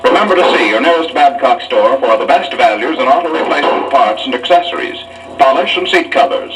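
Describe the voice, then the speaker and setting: A man's voice: mid-range voice, lively intonation, a little fast, fairly bright tone, distant mic, soft background music. An American man working as a 1940s radio announcer, on an echoey old disc recording. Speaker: radio announcer and street interviewer.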